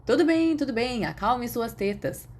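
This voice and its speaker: A woman's voice: fairly high pitch, expressive, normal speed, a little mellow, voice pushed up. A woman with a Brazilian Portuguese accent voicing a blunt, teasing imaginary teenage boy in an audiobook. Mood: exasperated. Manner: teasing, exasperated.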